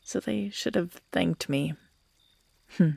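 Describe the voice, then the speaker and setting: Breathy, unhinged yandere girlfriend, acted by a woman. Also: on the low side, darkish tone, no echo, full character voice. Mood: menacing, gleeful. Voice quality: breathy, often dropping into whispers. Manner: slow, halting, cooing, broken by giggles and sighs. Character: obsessive, unhinged yandere woman, tender then menacing to her captive. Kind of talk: intimate ASMR audio roleplay acting.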